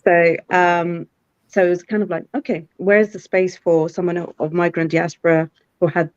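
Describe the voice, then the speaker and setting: A woman's voice: on the low side, level tone, moderate pace, no echo. Middle-aged woman on a lo-fi call. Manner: thoughtful, many fillers and restarts. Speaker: CEO guest. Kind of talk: live online interview. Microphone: video call.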